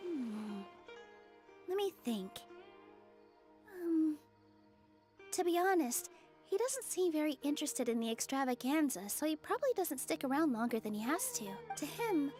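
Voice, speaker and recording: High-voiced, gentle young woman. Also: big pitch swings, measured pace, pauses often, soft background music. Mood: pondering. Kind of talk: video game voice acting.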